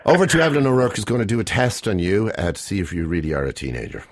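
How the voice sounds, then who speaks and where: A man's voice: fairly low pitch, racing. An Irish man hosting a radio show in a studio, chatting casually and teasing his guests with jokes. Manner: teasing, jokey, lively.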